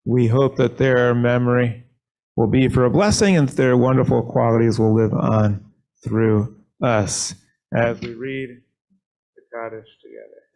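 A man serving as rabbi, leading a synagogue service and telling a short story in an earnest, measured way, speaking at a lectern microphone in a sanctuary. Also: medium pitch, steady tone, vocal fry, a little mellow, poor audio.

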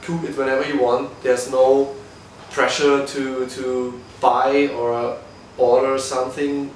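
Relaxed man, distant and echoey. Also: mid-range voice, slow, slight background noise. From Berlin, Germany. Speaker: guest. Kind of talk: casual interview. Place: living room of a guesthouse, indoors. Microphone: far camera. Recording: echoey room from far off.

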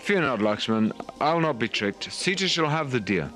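A man's voice: medium pitch, big pitch swings, moderate pace, fairly bright tone, soft background music. A man voicing a brave young prince in a puppet drama, firm and declamatory. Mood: resolute. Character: noble young prince Ram, brave and commanding.

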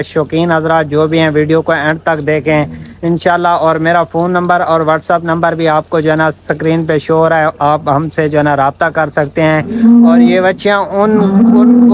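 A man's voice: a little high, a little hiss or hum. A man presents a sales vlog, often repeating filler phrases, recorded outdoors on a phone. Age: 20s. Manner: repetitive verbal filler phrases. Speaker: cattle seller and video presenter. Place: open-air farm yard. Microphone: phone at arm's length.

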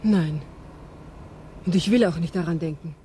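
A woman's voice: on the low side, moderate pace, music in the background, lightly acted. An actress playing an anxious, guarded young woman in a radio crime drama, speaking with restrained politeness. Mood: worried, insistent. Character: anxious, guarded young woman seeking her missing fiancé. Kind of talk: 1970s German radio crime drama acting.

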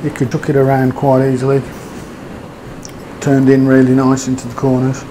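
A man's voice: medium pitch, completely flat delivery, normal speed, background noise. A man giving a casual, rambling motorbike review, with fillers and hesitations. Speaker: motovlogger.

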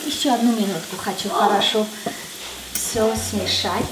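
A woman's voice: medium pitch, steady tone. A woman in her thirties, a native Armenian speaker, hosting a casual cooking demonstration in her home kitchen with a chatty, playful manner. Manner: chatty, playful, slow and unhurried. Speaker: cooking show host. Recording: echoey kitchen with loud music bed.

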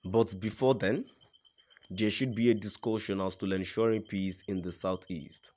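A man's voice: lowish voice, fairly even intonation, moderate pace, a little mellow. A man narrating a scripted news report in a formal read-aloud manner.

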